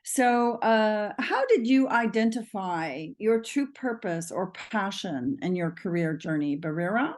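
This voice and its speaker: A woman's voice: mid-range voice, animated, a little slow, hardly any pauses, darkish tone. A woman hosting an interview, introducing her guest and putting questions. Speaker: interviewer, host.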